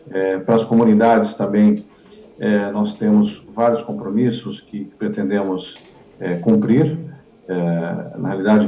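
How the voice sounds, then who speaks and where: A low, gravelly-voiced man answers as a politician, stumbling and repeating himself with many fillers. His voice is dark and mellow. It is recorded dull and echoey, as in a bare room. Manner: halting, repetitive, many fillers. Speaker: politician interviewee.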